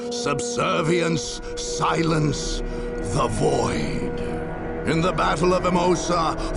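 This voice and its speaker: An actor narrating as a grandiose war chronicler in a dark sci-fi game, with a raspy, portentous, emphatic delivery. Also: male voice, on the high side, heavily acted. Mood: grandiose, ominous. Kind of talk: dramatic scripted narration.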